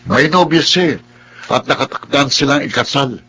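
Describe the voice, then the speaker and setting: A man's voice: mid-range voice, expressive, normal speed, bright, forceful tone, husky, full character voice. A man with a Filipino accent playing an earnest, jealous young household worker in a radio drama. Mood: earnest warning.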